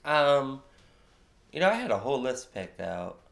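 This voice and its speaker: A man's voice: mid-range voice, big pitch swings, a little slow, vocal fry, fairly bright tone. A man co-hosting a casual podcast, laid-back and hesitant, with lots of ums. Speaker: co-host. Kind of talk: casual chat.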